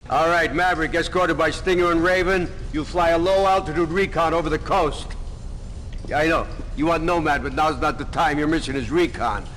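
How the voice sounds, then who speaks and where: High-pitched, commanding navy officer played by a man. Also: fairly quick, fairly bright tone, a little hiss or hum, natural voice, low-quality recording. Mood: firm, insistent. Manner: authoritative, clipped orders. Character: gruff, commanding male navy commanding officer briefing fighter pilots. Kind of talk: dramatic military briefing acting.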